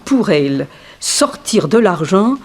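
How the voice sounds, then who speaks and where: An actress playing a frank, wry young dancer in an early 1960s French radio mystery, theatrical and gossipy. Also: female voice, lowish voice, sing-song, slow, exaggerated voice. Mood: matter-of-fact.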